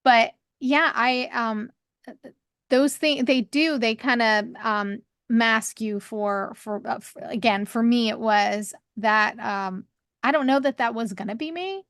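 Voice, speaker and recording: A woman with a higher voice, co-hosting a podcast and talking openly in a rambling, candid way. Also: a little slow, a bit bright, clear, resonant tone, no room sound, clean studio sound. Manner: rambling, restarts, laughs often. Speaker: podcast co-host. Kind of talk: candid conversational chat.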